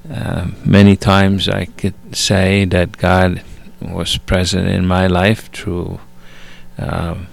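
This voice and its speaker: Slow, gravelly-voiced middle-aged man. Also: low voice, monotone. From Italy. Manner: halting, many fillers and restarts. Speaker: guest father. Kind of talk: faith testimony, casual talk.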